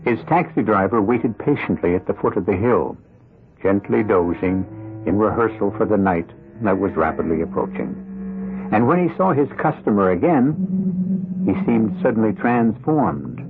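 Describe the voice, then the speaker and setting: A wry, ominous storyteller hosting a vintage radio mystery. His low voice is deliberate and dramatic, over prominent music on a dull old broadcast recording. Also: animated. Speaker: host and narrator.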